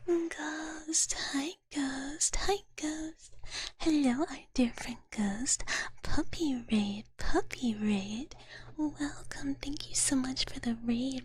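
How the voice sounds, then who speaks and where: A woman streaming ASMR in a soft, breathy whisper, chatting casually and playfully with viewers up close to the microphone. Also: high voice, no room sound. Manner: playful, speaks of self in third person. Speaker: ASMR livestreamer.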